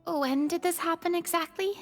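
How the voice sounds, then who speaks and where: A woman playing a tiny childlike fairy companion in a video game, with a squeaky voice and an earnest, excitable manner. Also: moderate pace, long reverb tail, soft background music. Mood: earnest, apologetic. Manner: speaks of self in third person. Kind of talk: animated video game acting.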